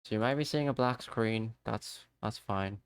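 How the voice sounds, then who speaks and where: A man chats casually through a live game stream, talking to himself and his viewers as he plays. His voice is smooth and resonant. It is recorded close and dry on a desk microphone. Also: fairly low pitch, normal speed, dry recording. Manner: chatty, talks to himself and to chat viewers. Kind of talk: casual live-stream chat while gaming.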